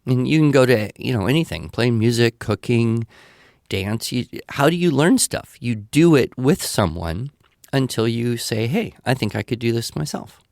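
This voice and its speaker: Older man, medium pitch, conversational teacher-like explaining, thinking aloud, dry recording. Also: expressive, normal speed, gravelly, darkish tone, dry recording. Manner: didactic, thinking aloud with examples. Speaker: podcast guest expert answering listener questions.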